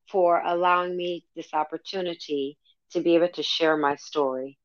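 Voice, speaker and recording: Slightly low, dull-sounding woman guest. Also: a bit bright, no echo. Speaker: interview guest, storyteller. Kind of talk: casual interview answers.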